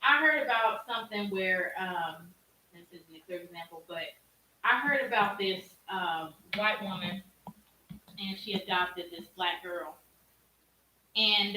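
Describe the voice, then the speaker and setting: A woman's voice: medium pitch, pushed and bright, roomy sound, far from the mic, a little hiss or hum, poor audio. A woman with a big voice giving blunt, emphatic opinions in casual talk, off camera and away from the mic. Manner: blunt, emphatic, says "you know what I'm saying" a lot. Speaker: guest in a stream.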